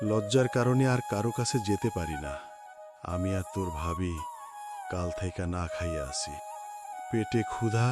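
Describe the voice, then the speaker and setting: A man playing a despairing but proud poor husband in a cartoon story, with a Bangladeshi accent and a plaintive, pleading delivery. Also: low voice. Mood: ashamed, pleading. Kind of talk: dramatic cartoon story acting. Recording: loud music bed under voice. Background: music.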